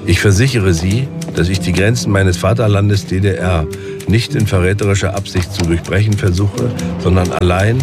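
A man's voice: low voice, moderate pace. An actor playing an earnest East German man who dreams of traveling, heard in film clips. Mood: formal. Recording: film clip with music underneath.

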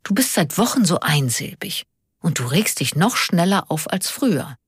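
A woman's voice: on the low side, sing-song, moderate pace, husky, no room sound, studio quality, heavily acted. A woman voicing a sensible, cautious older man who reasons plainly and reluctantly, in an audiobook. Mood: reproving. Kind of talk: audiobook character dialogue.

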